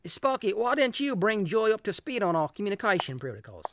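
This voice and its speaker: A man's voice: high voice, very animated, a little fast, background noise. A man with an Australian accent playing an easygoing, friendly squad leader in an audio drama.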